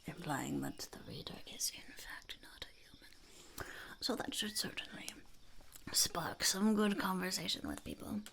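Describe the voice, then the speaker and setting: A woman voicing a blunt, mischievous female goblin in a whispered ASMR roleplay, deadpan and cynical, with a creaky, breathy voice close to the mic. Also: on the low side, measured pace, full character voice. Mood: matter-of-fact, sly. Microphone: close ASMR microphone.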